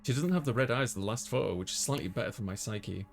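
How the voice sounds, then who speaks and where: A man's voice: lowish voice, fast, creaky. A man reading a visual novel aloud as a let's player, narrating and chatting casually, with game music behind him.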